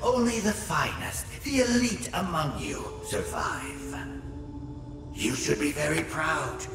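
High, gloating villain, echoing. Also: male voice, big pitch swings, recorded from a distance, music in the background, heavily acted. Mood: smug. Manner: pompous, drawn-out, theatrical. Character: gloating, theatrical villainous professor, smug and cruel. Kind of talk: video game voice acting.

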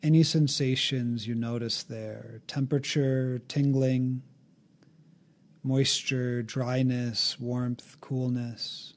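A man narrating a guided meditation in a calm, gently instructive way, leaving long pauses between phrases. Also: mid-range voice.

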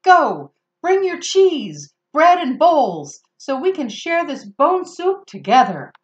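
A woman's voice: on the high side, sing-song, unhurried, highs cut off. Storybook stranger, male, sly and coaxing, lifted and showy, read by a woman. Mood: grand. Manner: coaxing, sing-song. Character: sly wandering male stranger, grinning cook.

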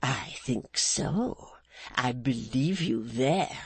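A man voicing a menacing, swaggering male blackmailer who talks in a slow, mocking, insolent way. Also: medium pitch, lively intonation, vocal fry, breathy edge, no room sound, heavily acted. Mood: sneering.

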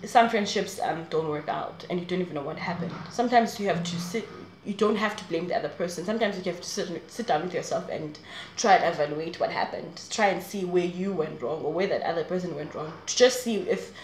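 A woman's voice: fairly low pitch, fairly quick, echoey room, slight background noise, rough recording. A woman in her twenties talking straight to camera in a casual, rambling advice vlog, laughing often. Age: 20s. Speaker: vlogger. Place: small room indoors.

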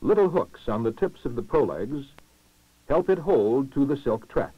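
A man reading scripted narration for a 1960s educational documentary, formal and measured, with clear enunciation. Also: medium pitch, expressive, dry recording. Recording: muffled lo-fi 1960s film soundtrack.

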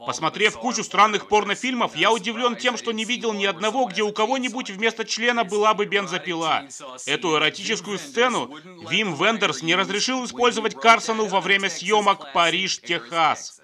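A man's voice: high-pitched, fairly quick, sharp tone. A man narrating scripted comedic film commentary in a sardonic, joking manner.

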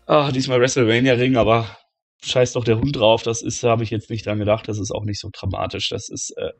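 Excitable game-wrestling commentator. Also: male voice, a little fast, creaky, no echo. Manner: shouts and gets high-pitched at big moments, calmer when tallying scores. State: has a cold, slightly hoarse.

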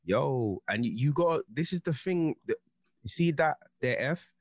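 A man's voice: on the high side, animated, a little slow, no echo. A man, co-hosting a podcast in casual chat, talking earnestly with lots of "you know". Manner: earnest, heated, leans on filler "you know". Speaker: podcast co-host. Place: home studio, indoors. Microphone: studio mic, headphones.

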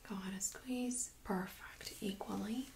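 Woman, ASMR medical role-play, breathy whisper, gentle unhurried instructions, slight background noise. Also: mid-range voice, steady tone. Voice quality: soft, breathy, mostly whispered. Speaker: ASMR host role-playing a medical examiner. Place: small room indoors.

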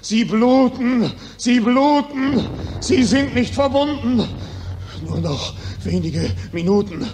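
An actor playing a defiant, pleading dying soldier, declaiming verse in an impassioned, drawn-out 1940s radio drama manner. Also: male voice, extremely high pitch, animated, darkish tone, heavily acted. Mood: desperate, pleading. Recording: noisy 1940s radio recording.

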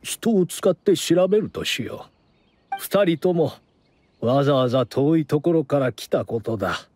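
An actor playing a courteous older gentleman host in an anime game, speaking in a polite, measured, mature manner. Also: on the high side, lively intonation, slight background noise. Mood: calm, thoughtful.

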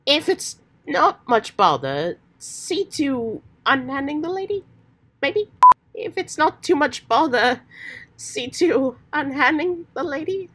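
A woman voicing an earnest, excitable, old-fashioned adventurous teenage boy with hammy, folksy slang, while getting over a cold. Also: sits high, slow pace, pitched above the performer's own voice, exaggerated voice. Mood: polite, hesitant. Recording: faint background noise.

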